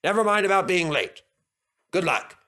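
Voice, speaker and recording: A man playing a brisk, authoritative railway controller in a children's story. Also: high-pitched, very animated, sharp tone, pitched above the performer's own voice, heavily acted. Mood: encouraging.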